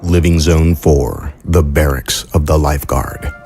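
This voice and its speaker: A man's voice: very deep voice, unhurried, gravelly, over music. A man hosting a fiction podcast, giving scripted introductions and announcements. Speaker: host and producer.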